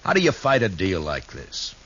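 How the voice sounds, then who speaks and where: A stern, troubled lawman in a vintage radio western. The voice is deep, the delivery blunt and grave. The old recording is dull and noisy. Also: wildly swooping pitch, moderate pace, a bit bright, heavily acted. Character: stern, troubled, principled frontier marshal. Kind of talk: 1950s western radio drama acting.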